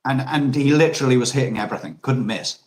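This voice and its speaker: A man's voice: medium pitch, fast talker. A man with an Australian accent, chatting casually and telling rambling stories full of restarts and self-corrections. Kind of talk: casual chat.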